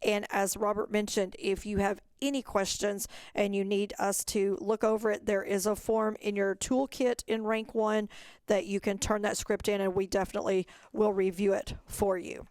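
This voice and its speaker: A woman's voice: mid-range voice, flat delivery, moderate pace, darkish tone, clean tone, no echo. A woman giving an informational webinar presentation, explaining step by step in an instructional way. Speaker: program staff presenter.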